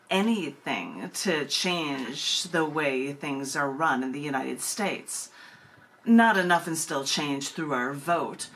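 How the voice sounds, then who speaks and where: A woman with a slightly low, bright and forceful voice reads poetry as host of an open mic. She speaks deliberately, stretching phrases for emphasis, and slips into casual asides. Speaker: poet hosting an open mic. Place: at home, on a video call.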